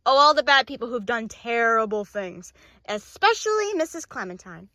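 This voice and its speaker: A young woman with a high, very lively voice, voicing her plush characters in a casual chat. She talks haltingly, with fillers and restarts, recorded dry and close. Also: no room sound.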